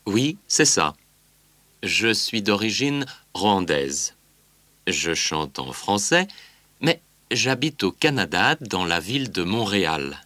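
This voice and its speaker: A man's voice: on the low side, very animated, takes it slowly, pushed and bright, dry recording, exaggerated voice. An actor voicing a male singer on a promo tour, reading a scripted interview in an easygoing way. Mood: candid.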